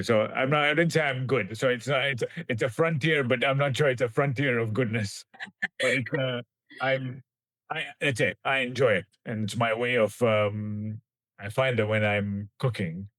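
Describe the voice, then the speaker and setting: A man in his forties from Kenya, a scientist answering interview questions in a thoughtful, halting way with light laughs. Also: medium pitch, fairly bright tone, no echo. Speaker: scientist guest.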